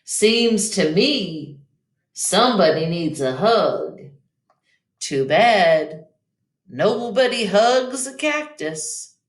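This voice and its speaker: A woman doing an unhurried, unimpressed storybook cowboy. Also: mid-range voice, lively intonation. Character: passing male cowboy, drawling, unimpressed. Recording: echoey room.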